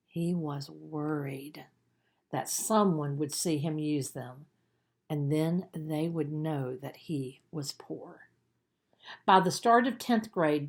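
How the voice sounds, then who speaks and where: A woman narrating a children's book as its author, in an expressive storytelling manner with deliberate emphasis. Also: low voice. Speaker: author reading own book.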